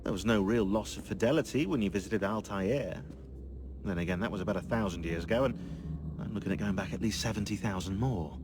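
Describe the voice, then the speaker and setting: An actor playing a bookish British researcher in a video game, chatty and dry with a slightly pedantic, opinionated way of talking. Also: male voice, on the low side, a little fast, background noise, lightly acted. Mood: chatty, wry. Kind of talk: naturalistic video game acting.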